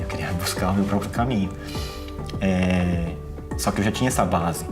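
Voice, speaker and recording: Quick, deep-voiced Brazilian man. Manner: thoughtful, earnest, many rhetorical questions. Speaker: entrepreneur. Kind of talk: reflective documentary interview.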